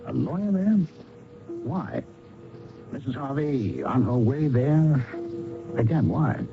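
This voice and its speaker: An actor playing a polite, persistent investigator with tactful, probing delivery in an old-time radio drama. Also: male voice, mid-range voice, very animated, slow pace, music in the background. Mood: brooding narration. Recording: dull, noisy old radio recording.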